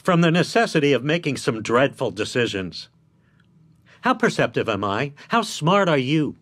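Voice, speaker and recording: An actor playing a shrewd, blunt defence lawyer in a radio drama, lecturing in an emphatic, articulate way. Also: male voice, mid-range voice, big pitch swings, normal speed, a bit bright. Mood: forceful, persuading.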